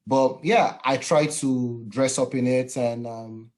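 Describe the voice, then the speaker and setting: A man's voice: medium pitch, steady tone, darkish tone. Man hosting a chat. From Nigeria. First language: Yoruba. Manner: halting, many fillers and restarts. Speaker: podcast host. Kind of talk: casual interview chat.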